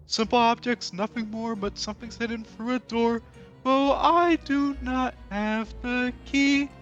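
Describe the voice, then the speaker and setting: A man playing an excitable skeleton showman from a musical, high-voiced, grandiose and dramatic, moving between song and speech. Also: lo-fi sound, full character voice. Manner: grandiose, sweeping, theatrical delivery. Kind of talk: theatrical musical performance, half sung and half spoken.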